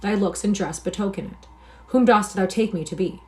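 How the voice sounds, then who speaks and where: A woman reading the part of a guarded young nobleman who speaks in a mild, soft tone with old-fashioned phrasing. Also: medium pitch, moderate pace, lightly acted.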